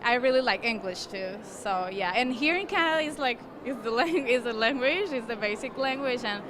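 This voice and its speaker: A woman's voice: fairly high pitch, animated, moderate pace, noisy background. Chatty woman. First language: Spanish. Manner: chatty, restarts sentences. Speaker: adult English learner.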